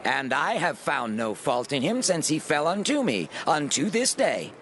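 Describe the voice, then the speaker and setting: A man's voice: a little high, sing-song, a little slow, fairly bright tone, slight background noise, exaggerated voice. A man playing a loyal ancient ruler defending an ally in a scripture drama, earnest and reasoning. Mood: earnest protest. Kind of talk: dramatized scripture acting in archaic English.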